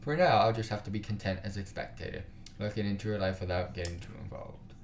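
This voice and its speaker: A man's voice: on the low side, fast talker. A man reading a visual novel aloud for a gaming video, narrating the story and dropping into casual, slangy, sometimes sweary asides. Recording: roomy echo, music behind. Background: game music.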